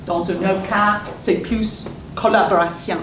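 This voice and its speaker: A woman's voice: medium pitch, fairly even intonation, a little slow. A woman whose first language is English, speaking at a public meeting, hesitant and searching for words with many restarts. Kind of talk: unscripted public comment. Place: meeting hall. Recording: echoey room, distant mic, background noise.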